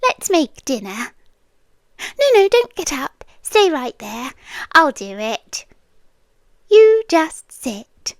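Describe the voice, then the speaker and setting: Woman acting a slow, high-voiced British yandere girlfriend. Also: very animated, creaky, no room sound, heavily acted. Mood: doting, controlling. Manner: slow, coaxing, controlling, often dropping into whispers. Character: possessive, sweetly menacing yandere landlady girlfriend. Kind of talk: ASMR roleplay, intimate and scripted.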